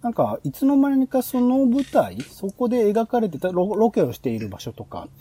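A man's voice: high-pitched, sing-song, moderate pace, mellow, breathy edge. A man hosting a podcast, explaining ideas casually and thinking aloud in long, loosely linked sentences. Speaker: podcast host. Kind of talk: casual explanatory monologue pitching show ideas.

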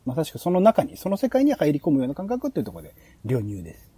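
A man's voice: fairly high pitch, wildly swooping pitch, fast talker, mellow, breathy edge, dry recording. A man hosting a podcast planning chat, explaining his ideas as he thinks aloud. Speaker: podcast host presenting program ideas. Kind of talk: casual planning-meeting talk.

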